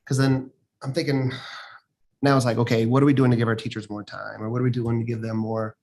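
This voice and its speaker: Guy on a school board, talks quick, thinking out loud, informal, middling pitch. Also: level tone. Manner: informal, thinking aloud, peppered with "like". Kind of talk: informal discussion at a public board meeting. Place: school board meeting room.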